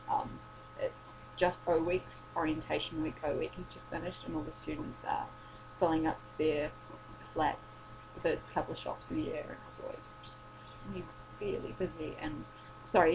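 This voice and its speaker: A woman's voice: sits low, steady tone, normal speed, noisy background. A tired young woman, rambling and swearing in a casual late-night vlog, her voice worn and starting to go. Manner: rambling, sweary, self-deprecating. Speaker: vlogger.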